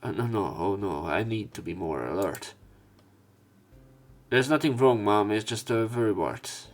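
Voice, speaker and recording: A man reading visual novel text aloud as a let's play narrator, in a somewhat halting manner. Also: on the low side, lively intonation. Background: game music.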